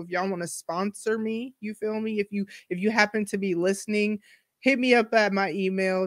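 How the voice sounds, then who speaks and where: A woman's voice: mid-range voice, fairly bright tone, dry recording, studio quality. A woman in her forties hosting a solo podcast, talking candidly in a chatty, slangy way full of asides. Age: 40s. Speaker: podcast host. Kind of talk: casual solo podcast monologue.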